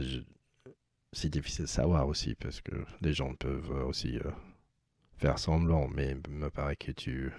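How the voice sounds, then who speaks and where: A man with a very deep, gravelly voice chats on a video call. He hesitates and restarts a lot while he hunts for words. His tone is dark and mellow, and the sound is dry and close. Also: dry recording. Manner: hesitant, many restarts and fillers. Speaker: language learner on video chat. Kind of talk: casual chat.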